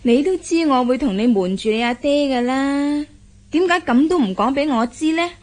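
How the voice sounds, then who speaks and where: A woman's voice: sits high, animated, normal speed, a little mellow. An actress playing a caring mother in a radio drama, coaxing secrets out of her daughter in a knowing, inquisitive way. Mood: concerned. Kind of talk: Cantonese radio drama acting.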